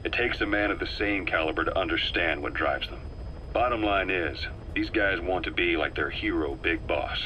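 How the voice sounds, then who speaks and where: A hardened mercenary officer in a video game, with a low, forceful voice. He briefs his commander in a deliberate, emphatic military manner, wry and intense, over faint background noise. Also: rough recording. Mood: grave, pointed.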